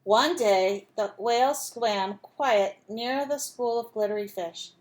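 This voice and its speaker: Higher-voiced woman reading to children. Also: slow pace. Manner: asks listeners questions, instructs simply. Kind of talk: storybook read-aloud with asides to children.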